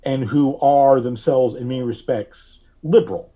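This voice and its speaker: A man giving measured, carefully worded explanations as a podcast guest. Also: mid-range voice, steady tone, dark tone, lo-fi sound. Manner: measured, deliberate, precise wording. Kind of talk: explanatory interview talk.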